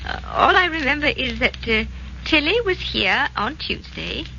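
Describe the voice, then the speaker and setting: Elderly forgetful aunt, gentle, insistent, hesitant, swooping intonation, 1940s radio drama, noisy old recording. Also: female voice, sits high, big pitch swings, a little slow, creaky, lo-fi sound, heavily acted. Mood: bewildered. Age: older. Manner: hesitant, repeats herself.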